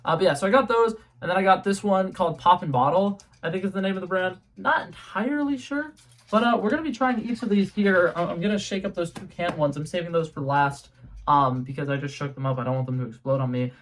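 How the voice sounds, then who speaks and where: A young man with a fairly high voice chats his way through a taste test from his room at home. He rambles, restarts his sentences and fills the gaps with ums, in a loose and casual vlog manner. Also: fast. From New England. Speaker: YouTube host. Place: home room with a color-changing globe light.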